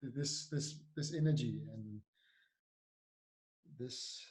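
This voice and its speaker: A man hosting a podcast, asking questions in a slow, halting way. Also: medium pitch, darkish tone, low-quality recording. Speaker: podcast host. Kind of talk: casual interview questions.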